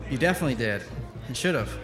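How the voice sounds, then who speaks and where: A man's voice: medium pitch, expressive, fast, close to an everyday voice. A man playing a jovial, teasing, sentimental party host in an audio drama. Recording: echoey room under loud music.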